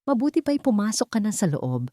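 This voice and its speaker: A woman's voice: mid-range voice, big pitch swings, a little fast, mellow, no echo, studio quality, voice pushed up, close to an everyday voice. A Filipino woman voicing a cold, elegant elderly grandmother and matriarch who speaks sweetly but with authority, in a narrated story. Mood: sweetly commanding.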